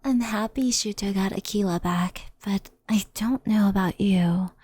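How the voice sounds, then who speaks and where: Gentle kitsune woman played by a female performer for ASMR roleplay: devoted, anxious, mellow, unhurried and whispery. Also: medium pitch, slightly rough, no room sound. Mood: calm, reflective. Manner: soft, slow, soothing, often whispery.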